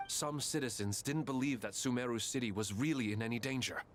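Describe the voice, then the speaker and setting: An actor playing a dutiful, respectful officer in a video game, reporting in a formal, matter-of-fact way. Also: male voice, medium pitch, brisk, a little hiss or hum, close to an everyday voice. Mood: urgent, sober.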